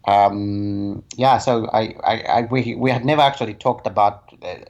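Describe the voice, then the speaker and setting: A man from Zambia with a lowish voice speaks in a relaxed, reflective way, pausing often and filling gaps as he recalls his past. The sound is a bit muffled, like a remote call recording. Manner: thoughtful, hesitant with many fillers. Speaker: guest, health advocate. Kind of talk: relaxed conversational interview.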